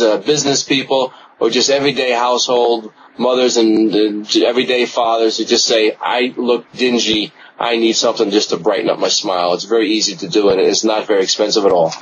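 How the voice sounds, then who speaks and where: A man speaking as a dentist in an interview, explaining things in an informal, conversational, plain-spoken way. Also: on the low side. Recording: noticeable background noise.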